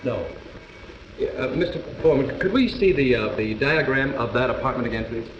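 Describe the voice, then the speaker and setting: An actor playing a calm, persistent juror in 1950s live television drama, reasoning step by step with small hesitations. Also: male voice, medium pitch, fairly quick, close to an everyday voice. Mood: polite, insistent. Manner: hesitant, methodical, explaining step by step. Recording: muffled, noisy, echoey 1950s TV broadcast.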